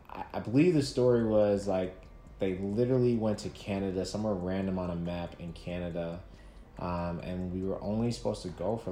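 A man in his thirties from Nigeria, a guest talking casually about his life, relaxed, with many fillers and restarts. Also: low-pitched. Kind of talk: casual interview storytelling. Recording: echoey room with faint noise.

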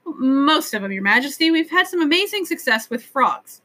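A woman acts out a flustered male professor from a children's storybook, in a bright voice. He is earnest and deferential, explaining himself in a hesitant, pleading way. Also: high-pitched, very animated, moderate pace, pitched above the performer's own voice, exaggerated voice.

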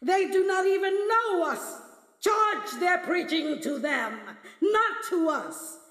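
A woman's voice: very high-pitched, expressive, takes it slowly, fairly bright tone, full character voice. A woman playing a stern, scornful Christmas spirit in a radio drama, declaiming and taunting. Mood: righteous anger. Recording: echoey hall reverb with music behind.

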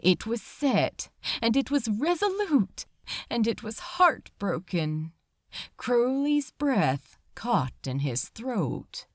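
A woman narrates fiction in a dramatic, expressive style. Her voice is fairly high and swoops widely in pitch, and she takes her time with drawn-out emphasis. The recording is dry. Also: no echo. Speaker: fan fiction reader. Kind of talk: dramatic scripted story narration.

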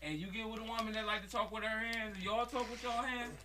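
A man earnestly making his point in a group chat. His voice is slightly high and bright. He sounds set back from the microphone, with faint noise behind. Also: steady tone, quick pace, distant mic. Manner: earnest, explaining his view.

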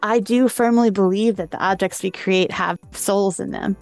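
A woman's voice: medium pitch, moderate pace, dry recording, soft background music. A woman hosting a podcast, presenting and discussing interview clips. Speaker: podcast host.

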